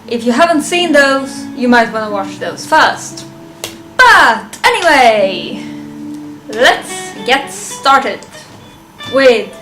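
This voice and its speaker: A woman's voice: on the high side, a bit bright, rough recording. A young woman talking casually and chattily to her viewers as a video reviewer, giving commentary on a list. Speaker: YouTube reviewer.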